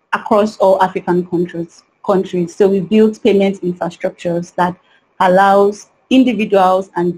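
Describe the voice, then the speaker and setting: A woman's voice: mid-range voice, mellow, breathy edge, poor audio. A woman in her thirties, a panelist on an online video call over a headset, speaking earnestly and often saying "you know".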